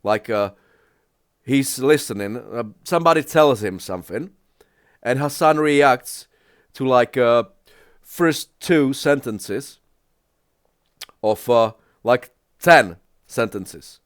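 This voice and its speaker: Slow, halting non-native English-speaking man. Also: medium pitch, animated, no room sound, clean studio sound. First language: not English. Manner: halting, searches for words, many restarts. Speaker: reaction video creator. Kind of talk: casual reaction commentary.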